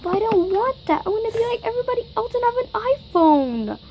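A woman's voice: very high-pitched, very animated, fast, mellow, a little hiss or hum, pitched above the performer's own voice. A girl voicing a young boy who whines and pleads for a new phone. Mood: whiny. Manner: whining, pleading.

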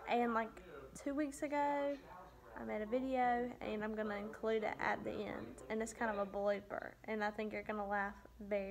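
A young woman, giving a casual, chatty vlog tour on a handheld phone. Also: on the high side. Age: young adult. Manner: chatty, upbeat, filler-heavy. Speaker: vlogger. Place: rental condo indoors. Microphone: handheld phone at arm's length.

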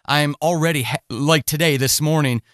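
Higher-pitched man hosting a casual interview. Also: moderate pace, fairly bright tone, dry recording, studio quality. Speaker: podcast host and interviewer.

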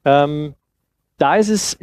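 Higher-voiced man giving an informal talk. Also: takes it slowly, dry recording. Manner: explanatory, conversational. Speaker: festival speaker, sound designer. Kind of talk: informal lecture with audience questions. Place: indoor festival stage with couch. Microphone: headset.

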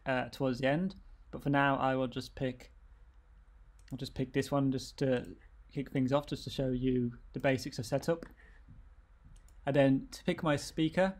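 A man presenting a software tutorial, explaining things informally step by step. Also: mid-range voice.

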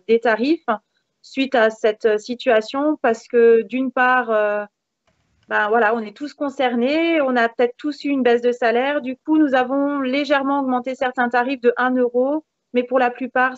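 Woman in her thirties, fairly high voice, upbeat interview guest, dry lo-fi call audio. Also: no room sound. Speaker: interview guest, hair salon manager. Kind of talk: conversational interview answers. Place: indoors in a room with a beamed ceiling. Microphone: video call, device mic. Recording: dull, lo-fi video call audio.

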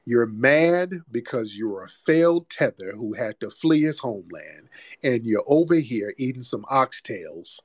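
A man's voice: mid-range voice, sing-song, a little slow, no room sound, clean studio sound. Combative man hosting a call-in. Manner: combative, repeats himself, talks over caller. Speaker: host. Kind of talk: heated call-in debate.

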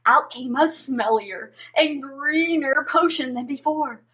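A woman's voice: high-pitched, slow pace, fairly bright tone. A woman in her thirties hosting a children's story time, telling tales with exaggerated expression. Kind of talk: animated storytelling for young children.

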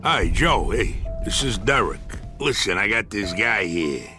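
An actor playing a smug, foul-mouthed dockside union boss in a crime video game, with an American accent and a streetwise, chummy, patronizing manner. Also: male voice, lowish voice, lively intonation, a little slow. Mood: wary, probing. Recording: music behind the voice. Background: background music.

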